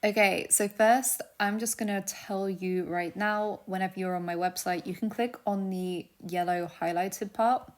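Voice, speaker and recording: A young woman casually teaching a vocabulary lesson, chatty and laughing often, dropping to a soft near-whisper when she gives word meanings. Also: mid-range voice, steady tone, measured pace, hardly any pauses. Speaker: language teacher.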